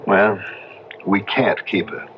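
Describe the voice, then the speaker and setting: An actor playing an anxious, superstitious young American husband in a 1970s radio drama, speaking with urgent foreboding. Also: male voice, lowish voice, fairly even intonation, takes it slowly. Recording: noisy, muffled old radio broadcast.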